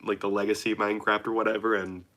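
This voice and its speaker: Young male streamer, congested and nasal, bright tone, loose rambling delivery. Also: fairly low pitch, flat delivery. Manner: rambling, chatty, reads chat aloud haltingly. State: nasal and dry-throated from a cold. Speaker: livestreamer. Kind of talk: casual live stream chat with viewers.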